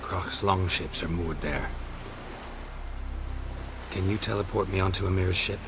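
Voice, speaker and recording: A man playing a gruff, wry monster hunter in a video game, with a gravelly voice and terse, deadpan delivery. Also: deep voice, unhurried, background noise. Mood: guarded, probing. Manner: terse, deadpan, clipped sentences. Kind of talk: video game cutscene acting.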